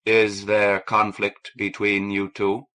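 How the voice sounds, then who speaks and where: Man doing a slow, formal alien scholar. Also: fairly low pitch, monotone, pushed and bright. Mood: formal, hesitant. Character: big whiskered alien, courteous, melancholy male scholar.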